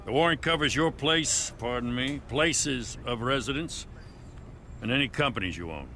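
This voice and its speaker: An actor playing a weary, principled police lieutenant who is reluctant but dutiful, in a dramatic video game. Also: male voice, mid-range voice, animated, normal speed, bright, forceful tone, slight background noise. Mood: reluctant, firm.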